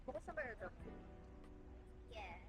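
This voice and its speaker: A woman's voice: fairly high pitch, expressive. A young woman chatting with friends at a cafe. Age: 20s. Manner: chatty, quick back-and-forth. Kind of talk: casual chat. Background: loud background music.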